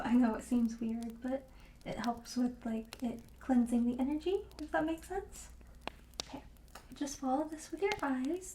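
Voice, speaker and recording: A woman playing a friendly, soothing witch shopkeeper in an ASMR roleplay, speaking gently and hesitantly and drifting into whispers. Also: high voice, reverberant, a little hiss or hum, natural voice, rough recording. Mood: soothing, welcoming. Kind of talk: soft-spoken ASMR roleplay.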